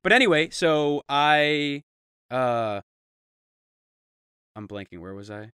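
A man co-hosting a podcast, chatting casually and trading quick jokes. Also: a little high, expressive, sharp tone, smooth, dry recording, studio quality. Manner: joking, quick banter. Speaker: podcast co-host. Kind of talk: casual comedic chat.

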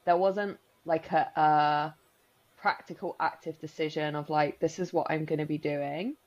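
A woman's voice: deep voice, fairly even intonation, normal speed, a little mellow, lo-fi sound. A woman in her thirties who grew up in Spain, co-hosting a podcast in casual, rambling chat full of likes and restarts. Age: 30s. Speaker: podcast co-host.

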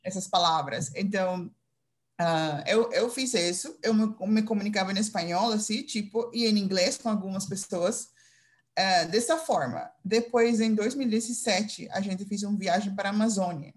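A Dutch-accented woman from Curaçao answers interview questions, pausing and restarting as she searches for words. Her pitch sits in an ordinary middle range for a woman. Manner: hesitant, searching for words with many fillers and restarts. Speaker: English teacher, interview guest.

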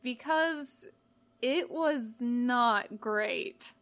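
A woman voicing a gentle, casual male skeleton monster in a story, earnest and puzzled. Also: fairly high pitch, very slow, full character voice. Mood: slow, unimpressed.

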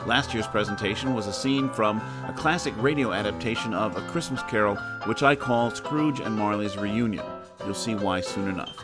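A man's voice: lowish voice, normal speed. American man hosting over music. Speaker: host and announcer. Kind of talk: scripted podcast introduction.

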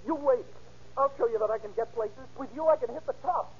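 A man's voice: extremely high pitch, fairly quick, a little mellow, breathy edge, full character voice. An actor playing an intense, slangy, lovesick young man in an old-time radio crime drama. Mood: urgent, pleading. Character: distraught, lovesick young man confessing to a shooting. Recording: dull, noisy vintage radio recording.

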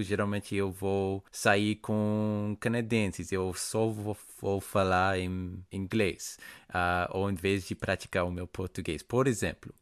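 A man with a very low voice hosts a relaxed interview chat, speaking slowly and with hesitation. He backs up over his words and fills gaps with pauses. The sound is close and dry, with little room around it. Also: smooth, no room sound. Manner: slow, hesitant, many restarts and fillers. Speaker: podcast host.

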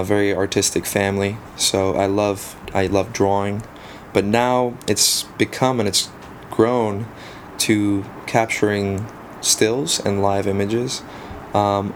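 A man in his thirties with a South African accent, a native Afrikaans speaker, talking casually as an interview guest with relaxed pauses and frequent ums. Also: lowish voice, slight background noise. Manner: relaxed, frequent ums, self-deprecating humor. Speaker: guest, photographer.

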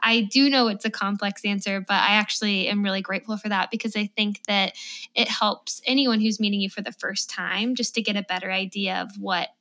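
Woman, podcast host, bright forceful voice, affirming, conversational. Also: mid-range voice, fairly even intonation, a little fast. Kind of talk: conversational interview.

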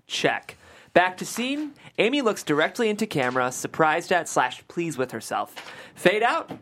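Low-voiced woman with very lively pitch swings. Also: normal speed, slight background noise. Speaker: stage directions reader at a table read. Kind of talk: scripted narration of comedy screenplay directions.

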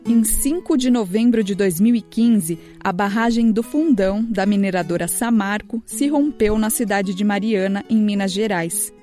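A woman hosting a children's radio program, reading a scripted report in a calm, clear storytelling manner. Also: mid-range voice, normal speed, few pauses, music in the background. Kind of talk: scripted narration.

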